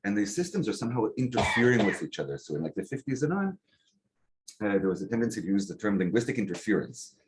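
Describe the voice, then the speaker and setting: A man giving an academic lecture to a seminar, relaxed and conversational, with frequent um and uh and rhetorical "right?" checks. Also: lowish voice, quick pace. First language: English. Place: lecture hall. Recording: faint background noise, clicks.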